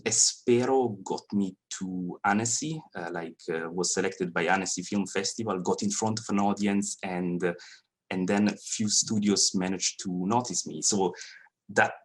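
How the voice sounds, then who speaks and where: A man's voice: deep voice, steady tone, moderate pace. A man in his thirties with a Swiss Italian accent, an animator giving a friendly, hesitant talk to students. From Italian-speaking Switzerland. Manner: hesitant, many fillers and restarts, friendly. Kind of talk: presentation to students. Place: college lecture room.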